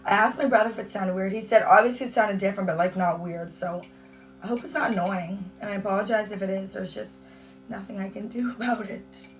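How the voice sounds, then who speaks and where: A young woman from Canada talks through a casual home vlog, bubbly and chatty and peppered with "like" and "you guys." Her voice is hoarse from a cold. She sits a little back from the mic, with faint music playing beneath her. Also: mid-range voice, steady tone, fairly quick, far from the mic. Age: young adult. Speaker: beauty vlogger. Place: at home on a hot day.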